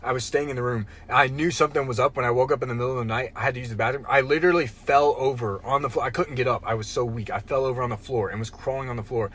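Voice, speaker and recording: A man's voice: medium pitch, steady tone, rapid-fire, hardly any pauses, fairly bright tone. A young man co-hosting a casual podcast, telling a rambling story with lots of restarts and "bro"s. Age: young adult. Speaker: podcast co-host. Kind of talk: casual chat.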